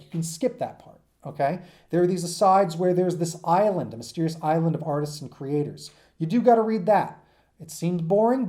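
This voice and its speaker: A man's voice: a little high, dark tone. A man teaching in an informal, off-the-cuff way, rambling and often checking in with his listeners. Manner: rambling, frequent check-ins like "okay?" and "right?". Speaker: teacher giving an assignment. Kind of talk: informal lecture to students, off the cuff.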